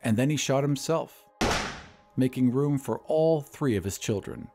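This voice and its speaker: A man narrating an audio drama in a measured, expressive storytelling voice, and hosting the show. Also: mid-range voice, music in the background. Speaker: narrator and producer.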